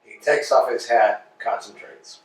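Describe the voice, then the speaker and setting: A man reads stage directions in a flat, matter-of-fact tone at an average pitch. The sound is echoey and distant, picked up from far across a reverberant room. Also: measured pace. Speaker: narrator reading stage directions.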